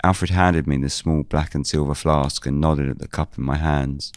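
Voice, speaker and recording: A man playing a weary investigator who narrates a scripted audio drama in the first person, measured and brooding. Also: a bass voice, steady tone. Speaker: narrator and lead character.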